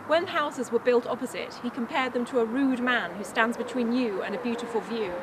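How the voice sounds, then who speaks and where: A woman's voice: on the high side, a little fast. A woman presenting a local history tour as scripted narration, recorded outdoors in town streets. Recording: outdoor location sound with clear traffic noise.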